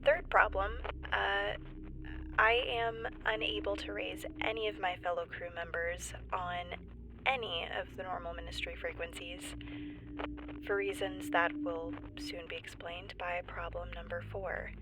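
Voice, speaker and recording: A woman playing a nervous, wry stranded geologist in a sci-fi audio drama, halting and breathy, often near a whisper. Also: lowish voice, level tone, noisy background. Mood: anxious, wry. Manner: halting, awkward, wryly understated. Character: stranded woman, nervous civilian geologist keeping an emergency log. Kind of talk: found-footage sci-fi audio drama monologue.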